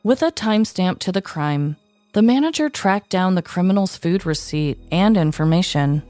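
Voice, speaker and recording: Lower-voiced woman narrating a scripted story. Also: expressive, moderate pace, darkish tone. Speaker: podcast host and storyteller. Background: music.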